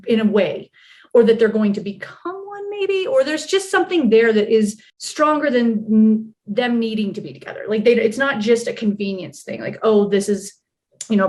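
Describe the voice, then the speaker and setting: A woman's voice: fairly high pitch, lively intonation, a little fast, a little mellow. A woman interview guest talking casually and reflectively, with thoughtful emphasis on key words.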